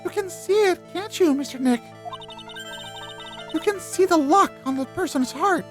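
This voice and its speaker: A man voicing a polite, earnest little girl spirit medium for a video game, speaking in a refined, halting way. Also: very high voice, over music. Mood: solemn, instructive. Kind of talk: video game dialogue voice-over.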